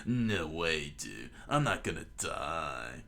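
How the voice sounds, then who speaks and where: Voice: very deep voice, big pitch swings, slow, gravelly, pushed and bright, voice pushed down, full character voice. A man voicing a brash, fearless teenage pirate boy with blunt, slangy defiance in a story. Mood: confident.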